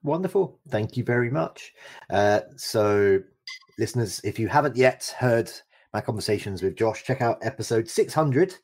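A man's voice: mid-range voice, lively intonation, moderate pace. A man hosting a podcast interview, effusive and full of praise for his guest. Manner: effusive, complimentary. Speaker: podcast host.

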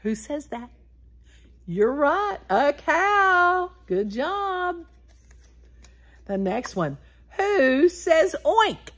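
Slow, animated older woman reading to children. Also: high voice. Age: 60s. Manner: animated, addressing children directly, drawn-out words and animal sounds. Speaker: children's story time host. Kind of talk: read-aloud for young children. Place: small wood-paneled room indoors.

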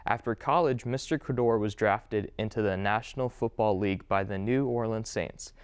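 A young man hosts a scripted college video, introducing the next speakers in a polished, even tone. His voice is smooth and resonant. He is recorded close on a clip-on mic in an indoor studio. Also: lowish voice, normal speed. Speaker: student host. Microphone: lapel mic.

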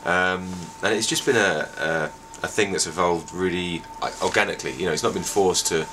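A man's voice: sits low, a little fast, pushed and bright, slight background noise. A man in his forties, a ski school owner, talking casually outside a chalet in an interview, with lots of fillers and restarts. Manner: chatty, with frequent fillers and restarts. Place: outdoors by a wooden chalet in snow.

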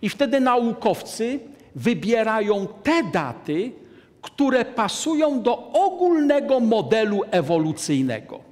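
A man's voice: high voice, big pitch swings, darkish tone. Older man lecturing in an echoing hall. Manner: deliberate, emphatic, gestures at a map. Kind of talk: church lecture to an audience. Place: large hall, standing at a lectern. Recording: long hall reverb.

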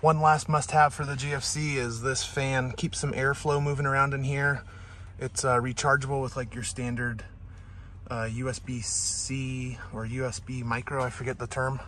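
A man's voice: medium pitch, normal speed, slight background noise. A man in his thirties giving a casual, matter-of-fact camping vlog outdoors, talking to a handheld camera with plenty of fillers. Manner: relaxed, matter-of-fact show-and-tell with fillers. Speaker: overland camping vlogger. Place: outdoors at a forest campsite.

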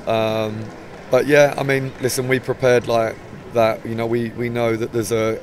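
A man in his forties, a football manager with an English accent, giving a measured, hesitant post-match interview outdoors by the pitch. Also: level tone, a little hiss or hum. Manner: measured, hesitant, many restarts. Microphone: handheld mic held up close.

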